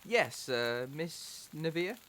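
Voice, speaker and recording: An actor playing a mild seventeenth-century village priest in an audio drama, speaking formally and haltingly. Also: male voice, on the high side, expressive, sharp tone, a little hiss or hum, exaggerated voice. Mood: courteous, hesitant.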